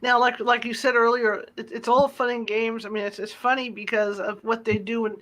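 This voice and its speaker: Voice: mid-range voice, flat delivery, a little fast, a bit bright, rough recording. An interview host asking questions casually, with hesitant restarts.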